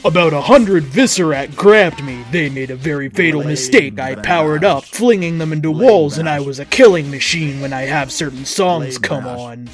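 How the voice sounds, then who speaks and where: A man reads fan fiction aloud in a dramatic narrating style. His voice sits somewhat high for a man. Music plays behind him. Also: normal speed, hardly any pauses. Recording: music under the voice.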